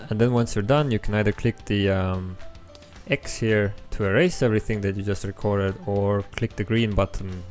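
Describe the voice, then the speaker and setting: A young man with an Estonian accent, his first language Estonian, walks through a coding tutorial in a relaxed way, with frequent ums as he thinks aloud. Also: lowish voice, moderate pace. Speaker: app developer tutorial host. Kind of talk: screen-recorded coding tutorial. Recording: faint background music.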